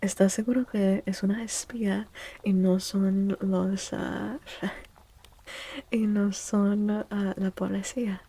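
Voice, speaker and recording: A woman chats softly to her stream in an ASMR style. Her voice is breathy and dark with some fry, and her pitch stays fairly flat. She is playful, often dropping to a whisper. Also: slow. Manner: soft, hushed, often slipping into whispers; playful, teasing. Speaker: ASMR streamer and tarot reader. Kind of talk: soft-spoken ASMR, casual chat with livestream viewers.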